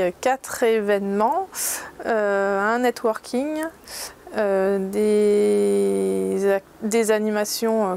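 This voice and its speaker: A woman giving a testimonial in a hesitant, halting way. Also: medium pitch, vocal fry, a little mellow. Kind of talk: testimonial interview. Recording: faint background ambient noise.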